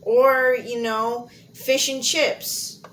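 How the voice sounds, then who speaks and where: A woman's voice: a little high, level tone, extremely slow, fairly bright tone, rough recording. A young American woman from Montana giving candid, informal answers as an interviewee, thinking aloud as she goes. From Montana, United States. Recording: clear background noise, amateur audio log.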